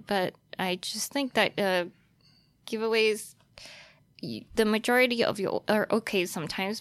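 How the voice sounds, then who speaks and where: A woman's voice: lowish voice, no echo. A young adult woman, chatting casually as a guest in an interview. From Australia, born in Taiwan. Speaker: podcast guest, fashion influencer.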